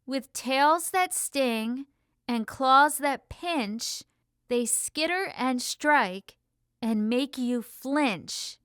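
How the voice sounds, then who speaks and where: Teenage girl, extremely high pitch, drawn-out pace, excitable, easily frightened. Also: full character voice. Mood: curious, uneasy. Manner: shrieking, pleading, breathy exclamations.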